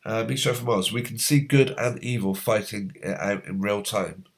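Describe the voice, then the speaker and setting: Guy on a live stream, voice going hoarse, lowish, lots of ums and uhs. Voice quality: slightly hoarse. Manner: rambling, halting, many fillers. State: voice going, hoarse with a cold coming on. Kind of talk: casual live stream chat, reading out viewer comments.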